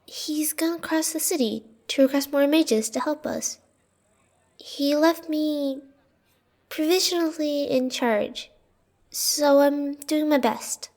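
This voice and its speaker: Woman acting as high-voiced, earnest young courtier. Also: takes it slowly, a little mellow. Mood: respectful, unsure. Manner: deferential, apologetic. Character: earnest young female court deputy, deferential, apologetic. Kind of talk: audio roleplay drama acting.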